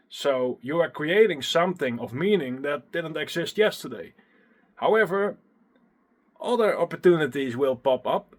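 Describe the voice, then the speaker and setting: A young man with a Dutch accent vlogging casually from his small home studio, chatty and self-deprecating, a little out of breath. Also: fairly high pitch. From Netherlands. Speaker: music producer vlogger. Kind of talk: casual vlog talking to camera. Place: small home studio with acoustic foam, indoors. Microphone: handheld phone at arm's length.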